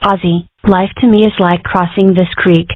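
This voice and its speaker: A woman's voice: fairly low pitch, steady tone, normal speed, no room sound, lightly acted. A woman voicing a sarcastic, rebellious young furry schoolgirl in a scripted comic-strip dub. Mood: sardonic. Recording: muffled, phone-like narrow band.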